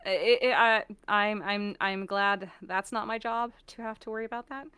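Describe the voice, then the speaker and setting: A woman's voice: mid-range voice, fairly even intonation, normal speed, sharp tone, clear, resonant tone, no echo. An American woman chatting casually and expressively as a guest on a stream, acting out bits of what she quotes. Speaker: voice actor and performer, guest on a stream. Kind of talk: casual, animated chat.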